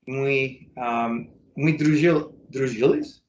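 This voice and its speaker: Halting American man speaking slow Russian. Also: mid-range voice, echoey room, highs cut off, slight background noise. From United States. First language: English. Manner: halting, many fillers and self-corrections. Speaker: interview guest, English teacher. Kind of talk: casual interview in learner's Russian.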